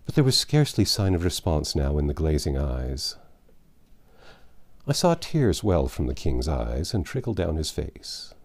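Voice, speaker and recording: A man narrating a classic novel aloud in a measured, dramatic storytelling manner. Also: rumbling low, very animated, darkish tone. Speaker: audiobook narrator. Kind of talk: expressive literary narration.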